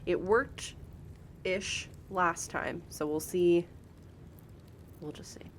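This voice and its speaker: A woman's voice: lowish voice, slow pace, slight background noise. A woman giving casual, chatty live game commentary, talking informally to viewers and to the characters. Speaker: live streamer.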